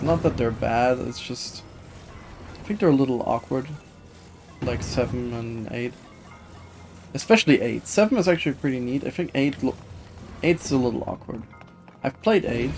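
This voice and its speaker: A man live streaming a video game, chatting casually with viewers in a hesitant, halting way that often repeats words. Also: medium pitch, creaky, a little mellow. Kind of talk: casual chat while gaming, reading and answering viewer comments. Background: video game music.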